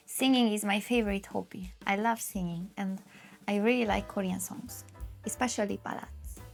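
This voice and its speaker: Late-20s woman, Hungarian accent, chatty interview guest, slightly high voice, soft music behind. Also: gravelly. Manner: lively, chatty, frequent "like" fillers. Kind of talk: casual interview chat.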